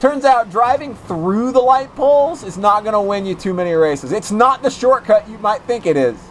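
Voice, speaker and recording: Excitable man in his thirties, lively voice. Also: high voice, normal speed, a little hiss or hum. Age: 30s. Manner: excitable, yells and laughs at the game. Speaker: streamer. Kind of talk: casual gaming chat.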